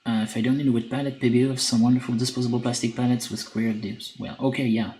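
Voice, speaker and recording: A man with a French accent chatting on a live stream while he paints, reading chat and pausing often with fillers. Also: medium pitch, level tone, mellow. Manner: hesitant, many filler pauses, reads chat aloud. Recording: echoey room with faint background noise.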